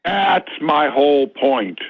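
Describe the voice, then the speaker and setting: A man's voice: a little high, animated, takes it slowly, breathy edge, dry recording. Male caller on a tinny phone line. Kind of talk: phone-in question.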